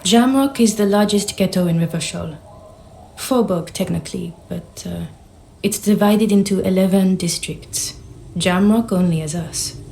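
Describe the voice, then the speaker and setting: Mellow, understated policewoman. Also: mid-range voice, a little slow, creaky, a little hiss or hum. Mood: matter-of-fact. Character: level-headed, understated female police officer. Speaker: police officer character. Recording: faint music under the voice. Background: music.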